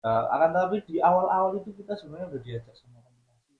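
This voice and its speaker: A man's voice: sits high, expressive, racing. A man from Central Java, a band member guest chatting casually and laughing often. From Central Java, Indonesia. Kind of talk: casual chat. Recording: slightly roomy, muffled radio studio sound.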